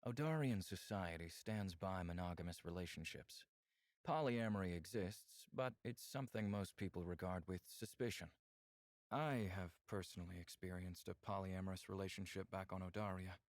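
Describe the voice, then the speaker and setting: An actor playing an earnest, reserved male alien scientist in a visual novel game, speaking in a formal, measured way. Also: low-pitched, dry recording, clean studio sound, lightly acted. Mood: measured, candid.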